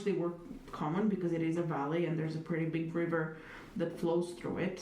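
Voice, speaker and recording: Woman speaking in a flat, echoey room. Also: fairly low pitch. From Italy. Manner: thoughtful, many hedges and fillers, wry humor. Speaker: interviewee. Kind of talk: reflective conversational interview. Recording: echoey room, distant mic, faint noise.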